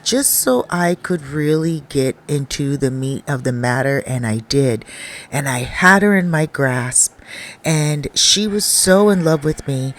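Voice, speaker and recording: A narrator reading a first-person confession as a shy, skinny young man who talks about his loneliness in a rambling, pausing way. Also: mid-range voice, natural voice.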